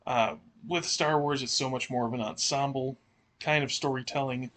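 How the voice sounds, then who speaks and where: A man co-hosting a podcast, chatting and asking questions in a halting, thinking-aloud way. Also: mid-range voice, fairly even intonation, a bit bright. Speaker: podcast co-host.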